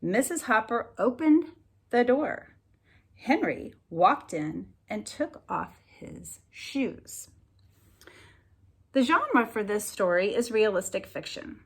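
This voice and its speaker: Slow, lively-pitched woman teacher reading aloud. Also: breathy edge. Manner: deliberate enunciation with expressive storybook emphasis. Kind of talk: slow expressive read-aloud lesson for children.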